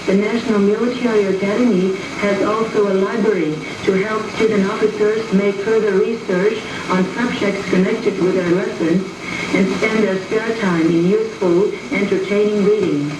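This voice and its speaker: A woman reading scripted propaganda film narration in a formal, measured manner, on an old film soundtrack. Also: medium pitch, reverberant, far from the mic, background noise. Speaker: documentary narrator.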